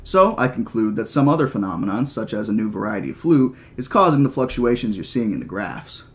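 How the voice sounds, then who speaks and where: A man voicing a condescending male mathematician in an audiobook reading, lecturing with flat, didactic certainty. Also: lowish voice, brisk, pitched below the performer's own voice. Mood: matter-of-fact lecturing. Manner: didactic, flatly insistent.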